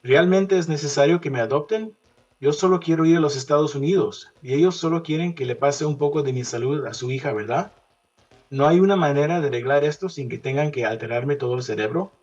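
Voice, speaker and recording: A man playing an anxious, questioning boy in an audio fiction reading, with a husky voice. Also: on the high side, fast, a little mellow, lo-fi sound, natural voice.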